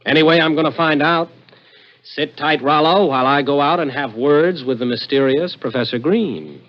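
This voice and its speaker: A man's voice: a little high, moderate pace, noisy background, natural voice. An actor playing a shrewd, persistent investigator who tells his story dryly in an old-time radio drama. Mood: dry, brisk. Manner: dry, confident, persistent. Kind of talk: old-time radio detective narration and dialogue. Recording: muffled vintage radio recording.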